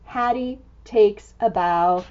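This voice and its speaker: A woman storyteller reading a picture book to young children in an animated, exclamatory way, full of expressive wonder. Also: medium pitch, takes it slowly, highs cut off. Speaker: storytime reader and teacher.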